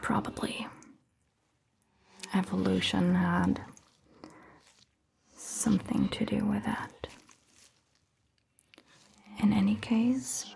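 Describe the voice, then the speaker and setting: Woman, hushed ASMR vampire doctor; unhurried whispered delivery, close-miked with faint hiss. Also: medium pitch. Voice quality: soft, breathy whisper. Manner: slow, gentle, hushed, with pauses. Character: calm, caring female vampire doctor. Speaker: ASMR roleplay host playing a vampire doctor. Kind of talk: whispered ASMR medical roleplay with storytelling. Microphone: close ASMR microphone.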